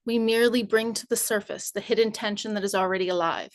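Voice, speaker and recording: Woman giving a measured formal recitation, dry sound. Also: medium pitch, steady tone, no echo. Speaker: community member reading aloud. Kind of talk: formal recitation of a written speech.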